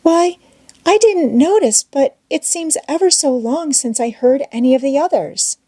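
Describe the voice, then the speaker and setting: Woman voicing an anxious, high-pitched young girl. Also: lively intonation, unhurried, mellow. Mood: apprehensive. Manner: pleading, apprehensive. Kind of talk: children's novel read aloud.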